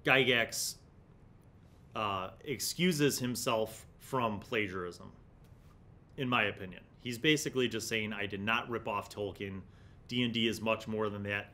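A man's voice: lowish voice, pushed and bright. A middle-aged man hosting a review series, reading aloud and commenting in a casual, musing, conversational way. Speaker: host of a gaming magazine review series. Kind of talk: casual commentary with passages read aloud from an article. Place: small indoor studio set with shelves. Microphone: condenser mic on the desk in front of him.

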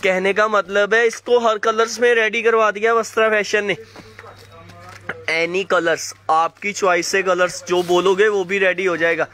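A man running a fast live sale of clothing, calling viewers by name and coughing from a sore throat. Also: high-pitched, steady tone, bright, forceful tone. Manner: fast hyped patter, addresses viewers by name. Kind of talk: live sales pitch to viewers. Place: indoor shop floor, clothes laid on mat.

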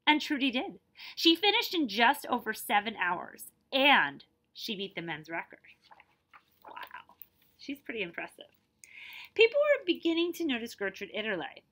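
A woman's voice: a little high, big pitch swings, normal speed, bright, forceful tone. A woman around her forties reading a children's picture book aloud expressively, stretching key words and adding explanatory asides. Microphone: device camera at about arm's length.

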